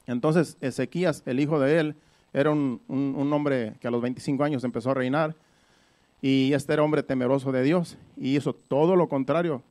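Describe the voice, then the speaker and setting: Male preacher, medium pitch. Conversational Bible teacher, explanatory, often rephrases his points. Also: steady tone, normal speed, darkish tone.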